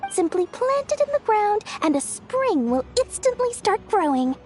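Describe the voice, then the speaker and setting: An actress voicing a greedy, cheerful young female merchant in a game, talking in a bubbly sing-song sales pitch. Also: very animated, normal speed, darkish tone, faint music behind. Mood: cheery salesy. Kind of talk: video game dialogue.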